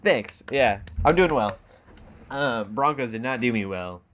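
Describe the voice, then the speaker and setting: A man's voice: medium pitch, expressive, measured pace, a bit bright. A man hosting a podcast in a casual, jokey, self-deprecating chat style. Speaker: podcast host. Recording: clear background noise.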